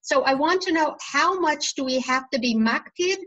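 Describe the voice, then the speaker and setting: A woman's voice: high voice, expressive, moderate pace, a bit bright, muffled recording. A woman student asking a question in class, hesitant and restarting her sentences.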